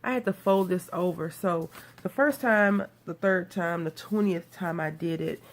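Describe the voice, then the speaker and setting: A woman's voice: fairly low pitch, a little slow. A woman from Texas, nasal from a cold, chatting and rambling as she walks through making a craft card. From Texas, USA. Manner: chatty, rambling, self-deprecating. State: has a cold. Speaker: craft video host. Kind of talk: casual card-making tutorial.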